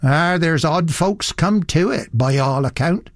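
A man's voice: fairly high pitch, a little slow, dry recording, studio quality, pitched above the performer's own voice. A man voicing a big, burly innkeeper of fifty who talks in a folksy, gossipy, ingratiating way. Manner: folksy, gossipy country speech.

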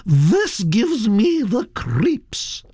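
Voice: medium pitch, wildly swooping pitch, extremely slow, warm tone, husky, no room sound, clean studio sound, pitched above the performer's own voice, full character voice. A narrator voicing a blunt, gossipy elderly duchess in an audiobook, her voice lifted well above the narrator's own. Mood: disapproving. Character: imperious elderly aristocratic duchess. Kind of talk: audiobook character voice.

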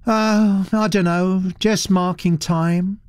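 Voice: medium pitch, fairly even intonation, slow, a little mellow, clean tone, no room sound, studio quality, pitched above the performer's own voice, lightly acted. A narrator playing an indifferent, sardonic young woman artist who speaks in a lazy, placid drawl. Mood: bored.

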